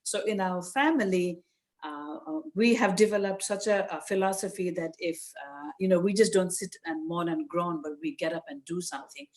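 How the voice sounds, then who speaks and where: An older woman from Nepal with a Nepali accent, giving informal, earnest advice as a guest speaker, with frequent hesitant pauses. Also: on the low side, darkish tone. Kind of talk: informal advice talk to parents. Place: virtual session over video call.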